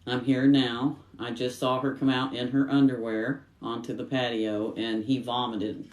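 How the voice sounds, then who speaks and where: A woman's voice: extremely low pitch, level tone, normal speed, darkish tone. Woman co-host, echoey and off mic. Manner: blunt, informal, quotes herself. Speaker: private investigator, co-host. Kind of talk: casual storytelling chat. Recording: echoey room, distant from microphone.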